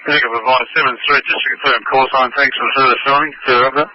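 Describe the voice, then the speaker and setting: Aussie guy doing police dispatch, talks fast, confirms stuff, muffled radio sound. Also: medium pitch, fairly even intonation, dry recording. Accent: Australian. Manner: procedural, repeats information back. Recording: dull lo-fi police radio transmission.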